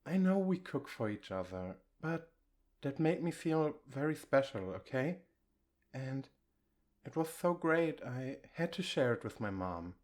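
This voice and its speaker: A man playing an affectionate, reassuring boyfriend in an intimate ASMR comfort roleplay, speaking gently and a little haltingly. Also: mid-range voice, big pitch swings. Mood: fond, sincere. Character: affectionate, reassuring boyfriend, shyly bragging about his partner.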